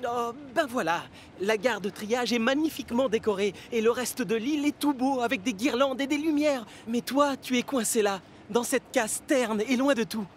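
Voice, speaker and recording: An actor voicing an eager, kind-hearted young male steam locomotive in a French cartoon dub, with an earnest, excitable manner. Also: mid-range voice, normal speed, few pauses, a little hiss or hum, lightly acted. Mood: disappointed.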